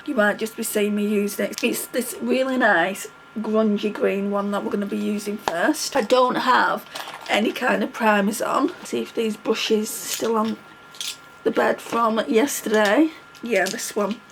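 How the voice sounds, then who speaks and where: A woman's voice: mid-range voice, steady tone, a little slow, creaky, slight background noise, poor audio. A middle-aged woman vlogging casually while doing her makeup, chatty and rambling, with a stuffy nose from a cold. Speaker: beauty vlogger.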